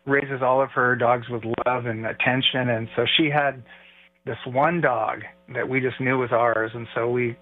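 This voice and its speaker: A man calling in to a radio show over a tinny, phone-like line, with faint music behind him. He speaks at a middle pitch and tells his stories in a friendly way. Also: steady tone, unhurried, dry recording. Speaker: guest caller. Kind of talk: casual radio interview.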